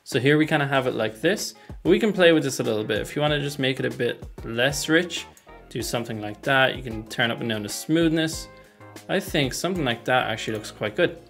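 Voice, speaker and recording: Young guy doing a casual tech tutorial, normal pitch, close mic, music in the background. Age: young adult. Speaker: tutorial host. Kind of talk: casual software tutorial narration. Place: small room at home, indoors. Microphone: desk microphone close in front.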